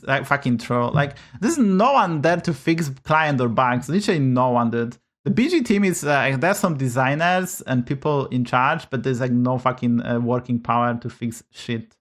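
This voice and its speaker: Polish-accented guy on his stream, medium voice, blunt, swears a lot, casual chat. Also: medium pitch. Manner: blunt, swears often, rants about bugs. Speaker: game streamer. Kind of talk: casual live-stream commentary.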